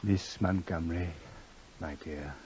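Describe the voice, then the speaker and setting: A man playing a distracted, curt professor with hidden menace, halting and husky, in old-time radio drama. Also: deep voice, steady tone, a little mellow, full character voice. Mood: cold, curt. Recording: muffled old radio recording.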